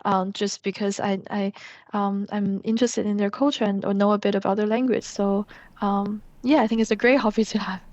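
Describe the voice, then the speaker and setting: A woman's voice: medium pitch, little pitch movement, a little fast, darkish tone, no echo, muffled recording. A young woman from China, a Mandarin speaker, talking in English as a podcast guest. She rambles and fills her sentences with "like" and "um". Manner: rambling, heavy use of "like" and "um". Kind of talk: casual podcast interview.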